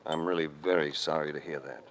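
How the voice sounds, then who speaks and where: An actor playing a worldly American adventurer-detective with a measured, sincere manner in a 1940s radio drama. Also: male voice, extremely low pitch, steady tone, a bit bright. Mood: sympathetic, rueful. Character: worldly, sympathetic American adventurer-detective hero, narrating his tale. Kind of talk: 1940s radio acting and noir narration. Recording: noisy old radio recording.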